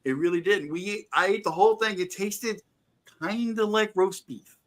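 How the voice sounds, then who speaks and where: A man's voice: high-pitched, normal speed, fairly bright tone. A man co-hosting a casual chat, telling loose slangy stories and laughing along. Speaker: podcast co-host.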